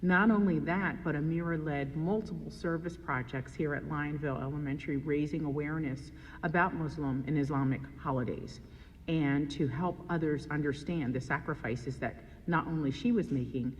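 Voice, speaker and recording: A man reading an earnest, measured tribute to a student. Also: a little high. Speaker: school staff member giving a tribute. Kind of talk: read tribute. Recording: long reverb in a hall.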